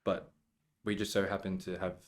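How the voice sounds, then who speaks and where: A man's voice: sits low, little pitch movement, normal speed. A young man, a podcast guest, telling school stories in casual chat and laughing often.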